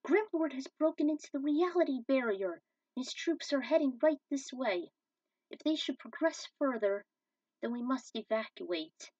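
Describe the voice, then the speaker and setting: A woman's voice: high voice, lively intonation, normal speed, a little mellow, dry recording, pitched above the performer's own voice. A woman voicing a grave, resolute professor with a solemn, declamatory delivery in a fan fiction reading. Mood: grave urgency. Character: grave professor rallying allies, earnest and resolute. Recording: dull, distant microphone.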